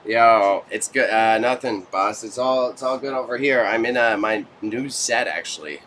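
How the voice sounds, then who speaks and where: A man's voice: medium pitch, moderate pace, fairly bright tone, slight background noise. A Canadian man chatting casually with viewers as a livestream host, with slangy, joking banter. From Toronto, Canada. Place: small warehouse space stacked with goods.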